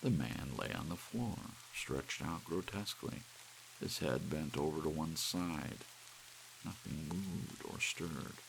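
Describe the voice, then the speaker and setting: A man reading a story as an ASMR audiobook narrator, with soft rain and a light hiss behind him. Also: very deep voice, takes it slowly, vocal fry, low-quality recording.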